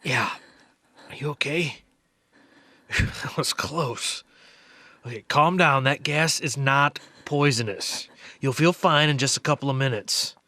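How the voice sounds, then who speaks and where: A man's voice: mid-range voice, unhurried, pauses often, pushed and bright, dry recording. A man playing an insistent, knowing research biologist in an audio drama, explaining things emphatically. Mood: urgent, reassuring.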